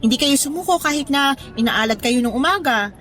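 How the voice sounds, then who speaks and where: A woman's voice: sits high, expressive, a little fast, fairly bright tone, soft background music. An actress playing a grateful, respectful young working woman in a Tagalog audio drama, with a Filipino accent and polite manner. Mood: earnest, grateful. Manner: polite, deferential.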